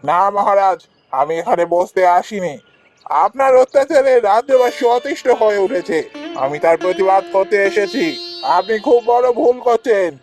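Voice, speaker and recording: Man voicing a solemn holy man from a cartoon tale, scolding, highish voice, faint music. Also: animated, normal speed, a little mellow. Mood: stern, accusing. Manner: admonishing, solemn. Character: stern righteous holy man who curses tyrants. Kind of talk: cartoon fairy-tale voice acting.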